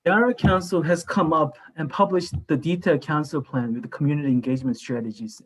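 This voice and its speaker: A man whose first language is Korean, a council candidate giving a formal, measured statement at a town hall. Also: fairly high pitch. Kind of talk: prepared campaign statement.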